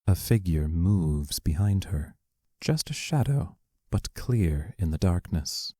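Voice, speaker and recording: A low-pitched man acting as narrator reads scripted stage directions for an audio drama. He is measured and matter-of-fact, and the sound is close and dry. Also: big pitch swings, dark tone, no room sound, heavily acted.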